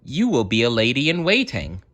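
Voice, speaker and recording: A man voicing a prim, dismissive storybook mother who talks in a measured, condescending way. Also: medium pitch, wildly swooping pitch, a bit bright. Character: dismissive mother of a would-be knight, prim lady.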